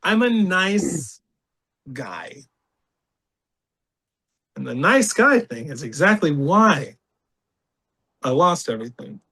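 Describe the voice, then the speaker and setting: Animated man telling a candid story. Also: on the high side, slow pace, long pauses, breathy edge. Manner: animated, laughs often, reenacts voices. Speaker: podcast guest.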